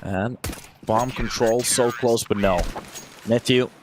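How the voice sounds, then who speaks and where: A man's voice: medium pitch, takes it slowly, background noise. Chatty thirty-something male esports caster. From Finland. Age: 30s. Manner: energetic, chatty, answers viewer questions between plays. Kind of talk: solo play-by-play commentary with chat replies.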